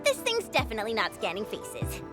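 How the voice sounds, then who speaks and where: A woman voicing an excitable, dramatic and indignant young girl in a video game. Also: fairly high pitch, fairly quick, music in the background. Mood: mischievous curiosity. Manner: exclaiming, exasperated.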